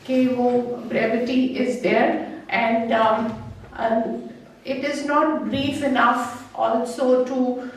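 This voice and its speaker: A middle-aged Indian woman with a Punjabi-tinged English accent addresses a gathering at a poetry reading. Her voice sits a little high and comes with many pauses and fillers. It is heard from a distance in a very echoey hall. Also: fairly even intonation, slight background noise. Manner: halting, many fillers, mixes in Hindi. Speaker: haiku poet giving a reading. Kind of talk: speech at a public reading. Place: club function hall. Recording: echoey hall, mic far off.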